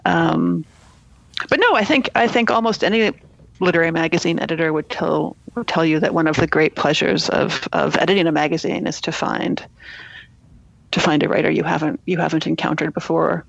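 A woman editor being interviewed on a podcast, answering in a thoughtful, measured way and often restarting her phrases. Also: sits low, creaky, lo-fi sound. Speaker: literary magazine editor, guest.

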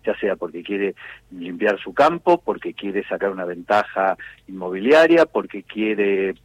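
An Argentine man answering as an official in a radio interview, speaking in a measured, formal, wordy way. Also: low-pitched, animated, muffled recording. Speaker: government official being interviewed.

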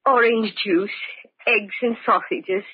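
An actress playing a deferential, slightly hesitant household maid in an old-time radio drama. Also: female voice, mid-range voice, fairly even intonation, slow pace, breathy edge. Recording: muffled, faintly noisy old radio recording.

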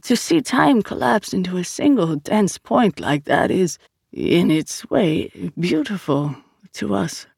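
An audiobook narrator voicing a serene male acolyte who speaks haltingly and philosophically, searching for words. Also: mid-range voice, sing-song, gravelly, slightly rough, no room sound, studio quality, pitched above the performer's own voice, exaggerated voice. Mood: serene, earnest. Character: serene, hesitant otherworldly religious acolyte, a male. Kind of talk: audiobook character dialogue.

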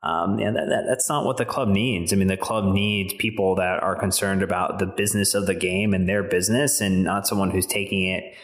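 A man's voice: deep voice, steady tone, brisk, few pauses. A man co-hosting a football fan podcast, talking casually with many fillers and restarts. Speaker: podcast co-host.

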